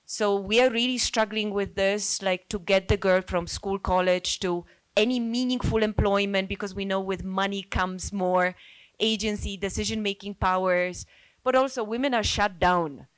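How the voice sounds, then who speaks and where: A woman's voice: mid-range voice, fairly even intonation, moderate pace, clean tone, poor audio. A woman from Poland with Polish as her first language contributes to a discussion in a mid-range voice. She speaks earnestly and informally, working through her thoughts aloud as she goes. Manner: earnest, reflective, thinking aloud. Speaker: NGO worker speaking as a participant.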